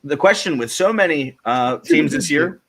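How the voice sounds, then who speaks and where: A man's voice: a little high, lively intonation, moderate pace, a bit bright, poor audio. A man on a fantasy football panel giving casual, colloquial sports analysis. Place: online livestream group call.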